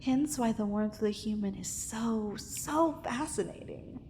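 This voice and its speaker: A possessive mermaid, acted by a woman, speaks in a long, cavernous reverb over faint noise. Her delivery is very slow, formal and coaxing. It is an intimate ASMR romance roleplay, tender with a dangerous edge. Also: fairly high pitch, warm tone. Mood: tender, fascinated.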